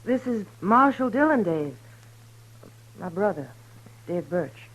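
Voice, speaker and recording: A woman's voice: medium pitch, sing-song, takes it slowly, breathy edge, muffled recording, heavily acted. A woman playing a hard, anxious young frontier sister with a rural American accent in a 1950s radio western. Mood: sharp, urgent.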